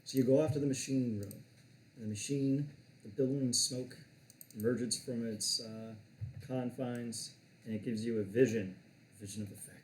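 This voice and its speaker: A man's voice: mid-range voice, fairly even intonation, normal speed, a little mellow, slight background noise. Guy running a tabletop game, laid back rambling narration, echoey room. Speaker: game master.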